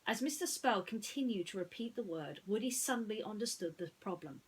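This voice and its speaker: A woman narrating a bedtime story in an expressive, deliberate way, with chatty asides. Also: medium pitch, slight background noise, low-quality recording.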